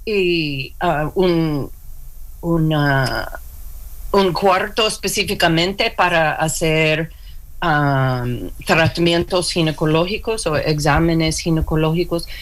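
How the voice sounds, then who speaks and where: Slow, hesitant woman searching for words. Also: sits low, low-quality recording. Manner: hesitant, many fillers and restarts, searching for words. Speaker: interview guest, clinic worker. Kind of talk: halting conversational interview.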